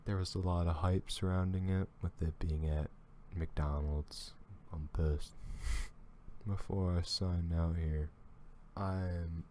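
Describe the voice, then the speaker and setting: Deep-voiced man drawling slow commentary. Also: fairly even intonation, darkish tone, a little hiss or hum. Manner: rambling, trailing off and restarting. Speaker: YouTube commentator reacting to a video. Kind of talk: casual reaction commentary.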